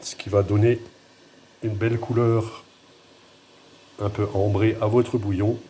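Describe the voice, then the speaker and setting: A man with a pronounced regional French accent talks through a dish in his home kitchen, recorded on a handheld phone. His voice sits fairly low and sounds dark and mellow. He hesitates, restarts and makes light of himself as he goes. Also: level tone, a little slow, a little hiss or hum. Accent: noticeable regional French accent. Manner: hesitant, self-deprecating, thinking aloud. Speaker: home cook. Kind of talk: casual cooking demonstration.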